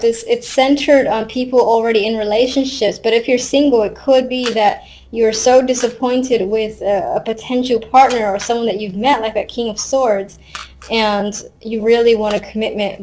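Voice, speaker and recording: Woman, slightly high voice, tarot card reader, casual and unscripted, conversational, thinking aloud, wry asides. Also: a little mellow, a little hiss or hum.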